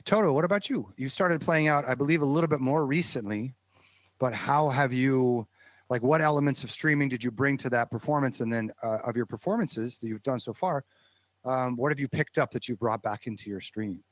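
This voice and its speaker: A man's voice: medium pitch, fairly quick, no room sound. A man guest hosting an interview, asking long questions in an encouraging way. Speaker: guest host. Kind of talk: casual interview chat.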